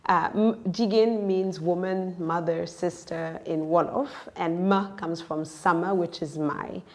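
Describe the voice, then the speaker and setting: A woman born in Senegal and raised in the United States, speaking reflectively as a podcast guest, with halting, thoughtful phrasing. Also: on the low side, fairly even intonation. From born in Senegal, grew up in the United States. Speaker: interview guest, entrepreneur. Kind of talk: reflective personal podcast conversation.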